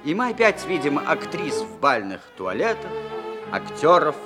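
A man narrating a radio play in a scripted, theatrical storytelling style with deliberate pauses. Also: a little high, very animated. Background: music with violins.

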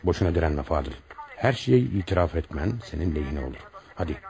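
A man's voice: rumbling low, very animated, brisk, creaky, a little mellow, heavily acted. A man acting a stern, insistent homicide police commissioner in a radio drama, with a commanding, pressing manner. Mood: stern, accusing.

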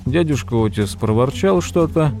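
A man's voice: mid-range voice, animated, moderate pace, a little mellow, soft background music, lightly acted. An actor playing a nervous nephew who narrates the story of his uncle in an audio drama. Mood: measured, storytelling.

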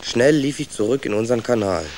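A man's voice: medium pitch, a little fast, darkish tone, natural voice. Man as curious young rat, noisy ambience. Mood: absorbed storytelling. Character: adventurous, curious, stubborn young male rat narrator. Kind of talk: first-person storytelling in children's radio play. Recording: clear ambient effects behind.

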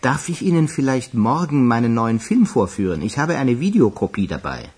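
An actor playing a wry, ingratiating male film producer in a radio crime drama, with a husky voice. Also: medium pitch, big pitch swings, moderate pace, a little mellow.

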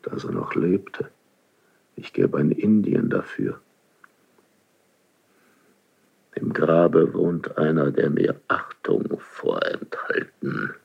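A deep, gravelly Spanish king, proud, embittered and grieving in a classical verse radio drama. He declaims slowly and haltingly, with a husky rasp, on a slightly dull archival recording. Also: very animated, exaggerated voice. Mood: bitter longing. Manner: slow, halting, brooding, theatrical declamation.

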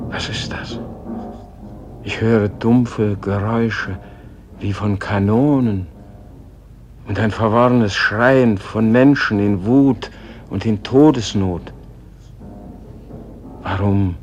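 Gentle old man in a radio play, deep-ish voice, really slow, solemn, lyrical, trails off hushed. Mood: troubled, sorrowful. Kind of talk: German radio play acting, solemn and poetic.

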